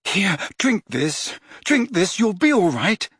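A frightened teenage boy wizard pleads and reassures in a shaky, lifted voice, as a male narrator puts him on. The tone is husky and dry, with lively swings in pitch. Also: takes it slowly, dry recording, heavily acted. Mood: desperate.

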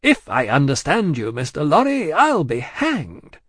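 A man voicing a big, pushy, self-important businessman from a classic novel, booming and pompous with forceful exclamations. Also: sing-song, moderate pace, husky, no echo, clean studio sound, full character voice. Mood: indignant. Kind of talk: acted audiobook dialogue from a classic novel.

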